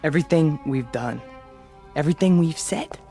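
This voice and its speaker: Actor as intense, high-voiced fascist rabble-rouser. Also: male voice, normal speed, faint music behind, close to an everyday voice. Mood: uneasy, conspiring. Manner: forceful, commanding. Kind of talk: radio drama acting.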